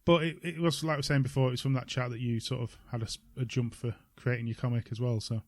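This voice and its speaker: A man's voice: mid-range voice, steady tone, quick pace, darkish tone, dry recording, clean studio sound. A man co-hosting a podcast in casual chat, thinking aloud and repeating himself as he goes. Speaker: podcast co-host.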